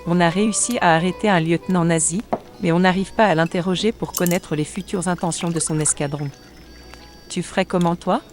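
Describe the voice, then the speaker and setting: A woman plays a tough Resistance fighter with a low voice. She talks in a familiar, plain way, as in a wartime radio play, with music behind her. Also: fairly even intonation, fairly quick, close to an everyday voice. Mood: matter-of-fact. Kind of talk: wartime audio drama dialogue.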